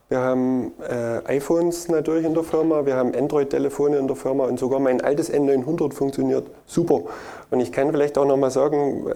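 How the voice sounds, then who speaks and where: A man's voice: medium pitch, warm tone. A man co-presenting at a conference and answering audience questions in a casual, enthusiastic way.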